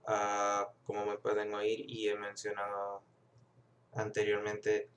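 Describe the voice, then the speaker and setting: A man's voice: lowish voice, monotone, takes it slowly, slight background noise. A young man from the United States with a Mexican-influenced Spanish accent, talking through a class reading in a reflective vlog, with many fillers and restarts. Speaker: student.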